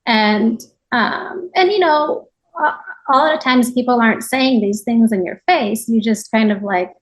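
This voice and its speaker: A woman from Japan with a fairly high voice speaks as a podcast guest. She talks reflectively about her own life in a conversational way, often adding small asides as she goes. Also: measured pace, poor audio. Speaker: podcast guest, yoga teacher. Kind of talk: reflective personal interview account.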